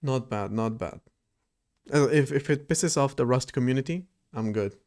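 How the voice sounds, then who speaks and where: Gravelly man rambling on a coding stream. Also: medium pitch, normal speed, a little mellow. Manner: rambling, thinking aloud, reacting to chat. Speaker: live-streaming programmer. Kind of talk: casual livestream chat while coding.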